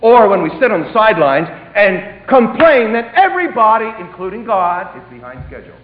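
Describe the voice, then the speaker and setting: High, animated preacher on a tinny recording. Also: male voice, fairly quick. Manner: emphatic, dramatic swings between loud and hushed delivery. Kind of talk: sermon. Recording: echoey hall, tinny phone-like sound.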